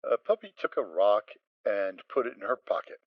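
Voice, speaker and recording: Expressive male story narrator. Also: on the low side, moderate pace, no echo. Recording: dry, dull sound.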